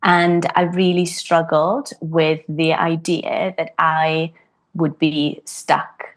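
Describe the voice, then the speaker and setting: A woman's voice: low-pitched, level tone, takes it slowly. A woman in her thirties, a harpist, talking reflectively about her career in a podcast interview, with frequent ums. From Wales. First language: Welsh. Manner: thoughtful, frequent ums and restarts. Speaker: guest harpist.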